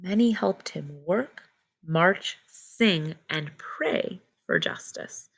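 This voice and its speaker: Woman with creaky voice reading to children. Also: fairly low pitch, animated, extremely slow, rough recording. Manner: simple, child-directed explaining with short phrases. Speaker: teacher reading a picture book aloud to children. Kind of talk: read-aloud storytelling with simple explanatory asides.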